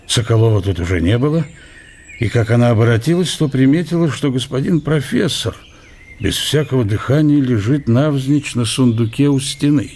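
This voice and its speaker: An actor playing a brooding middle-aged Russian scientist of the eighteenth century in a radio drama, reflective and declamatory, with archaic, bookish diction. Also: male voice, mid-range voice, darkish tone, slight background noise, close to an everyday voice.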